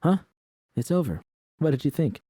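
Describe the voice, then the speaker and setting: A man's voice: fairly high pitch, big pitch swings, moderate pace, warm tone, husky, dry recording, clean studio sound, pitched above the performer's own voice, full character voice. A man voicing a sardonic, foul-mouthed young woman in audiobook dialogue. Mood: teasing.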